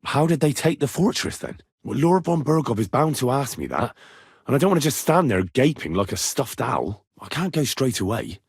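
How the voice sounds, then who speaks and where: A man playing a brash, blunt young medieval squire in a video game, with a husky voice. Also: mid-range voice, very animated, normal speed, no room sound, clean studio sound. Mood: earnest. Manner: blunt, colloquial, assertive. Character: brash young medieval Bohemian squire, plain-spoken and quick-tempered. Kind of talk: video game dialogue acting.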